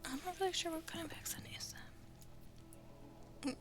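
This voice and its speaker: A woman's voice: very high voice, normal speed, over music. A woman whispering as she plays a game, reading in-game messages aloud with hesitant asides. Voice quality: whispery and creaky. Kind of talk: soft whispered game playthrough.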